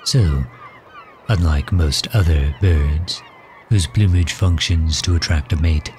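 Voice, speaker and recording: Casting: suave, protective male villain for ASMR roleplay played by a man, very low voice, courtly and gentle, outdoor bird ambience behind. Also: a little hiss or hum. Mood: tender, musing. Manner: gentle, courtly, measured and formal. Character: suave, protective male villain doting on his captive guest.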